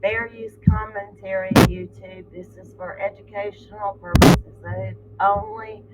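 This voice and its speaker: A host with a hoarse voice, giving earnest commentary with many fillers. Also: medium pitch, big pitch swings, measured pace. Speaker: channel host. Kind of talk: casual commentary to camera. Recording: phone-like lo-fi with loud music behind.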